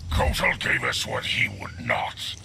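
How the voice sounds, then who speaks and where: An actor voicing a fierce monster warrior in a video game, growling and menacing in a gravelly voice. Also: male voice, very deep voice, lively intonation, exaggerated voice. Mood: proud, stern. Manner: slow, menacing, declarative. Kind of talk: video game dramatic acting. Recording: loud background noise.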